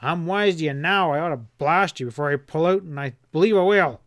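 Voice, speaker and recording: A man's voice: a little high, normal speed, pushed and bright, no room sound, muffled recording. A man voicing a hard, furious outlaw leader who roars and snarls his threats. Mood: shouting. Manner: snarling, roaring, ominous.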